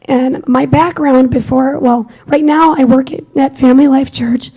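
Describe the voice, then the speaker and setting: A young woman with a squeaky voice giving an energetic, conversational talk to a live audience at a conference. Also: moderate pace, husky, rough recording. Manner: energetic, conversational, self-deprecating. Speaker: conference speaker.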